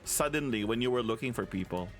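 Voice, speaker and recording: Lively man thinking aloud over a game. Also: medium pitch, quick pace, over music. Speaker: let's play streamer.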